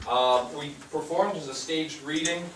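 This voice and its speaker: Informal male host fielding audience feedback, picked up from a distance with clear noise. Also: fairly high pitch, unhurried. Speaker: theatre company host. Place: indoor theatre stage. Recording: roomy theatre, distant mic, noisy.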